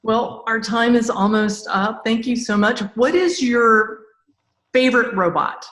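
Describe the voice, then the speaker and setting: A woman's voice: fairly high pitch, measured pace, fairly bright tone. A woman speaks as the host of a podcast interview. Her delivery is conversational, appreciative and encouraging toward her guest. Her voice sits in a roomy, echoey sound.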